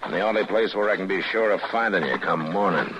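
An actor playing a stern, watchful U.S. marshal in a radio western, terse and authoritative. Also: male voice, deep voice, brisk, natural voice. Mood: dry, probing. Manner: terse, authoritative, dry questioning. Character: stern, watchful U.S. frontier marshal, lonely lawman. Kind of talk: 1950s radio western acting. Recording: noisy muffled old radio recording.

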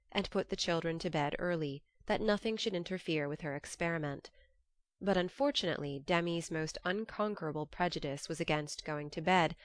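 Audiobook narrator, woman: lowish pitch, scripted literary storytelling, dry close sound. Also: dry recording.

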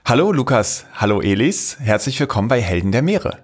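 A man's voice: medium pitch, sing-song, brisk. A man hosting a podcast, introducing his guests and asking friendly, enthusiastic questions. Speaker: podcast host. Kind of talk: podcast interview.

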